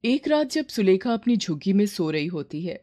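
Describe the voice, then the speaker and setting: A woman's voice: mid-range voice, very animated, normal speed, warm tone. A woman reading a scripted moral story as its narrator.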